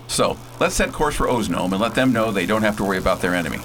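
A man playing a bold scientist who leads a space crew in a radio drama, brisk, folksy and commanding. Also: lowish voice, lively intonation, a bit bright, slight background noise. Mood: grave, determined. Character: bold, decisive space-hero scientist and leader.

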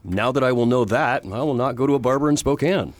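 A man's voice: medium pitch, quick pace, no room sound, lightly acted. A man playing a courteous, earnest middle-aged space lawman in a science fiction radio drama. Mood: amazed, puzzled.

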